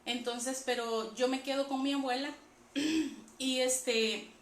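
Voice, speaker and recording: A woman's voice: high voice, steady tone, slow. A woman with a Salvadoran Spanish accent gives a personal religious testimony to an online audience in a conversational way. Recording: echoey room with faint background noise.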